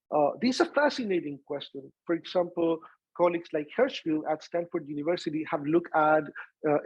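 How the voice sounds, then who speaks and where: A man's voice: fairly high pitch, breathy edge, no room sound. Chilean-accented man lecturing, lots of fillers. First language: Spanish. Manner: frequent "uh" fillers and restarts. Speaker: professor presenting research. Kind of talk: academic seminar presentation. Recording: dull lo-fi video call audio.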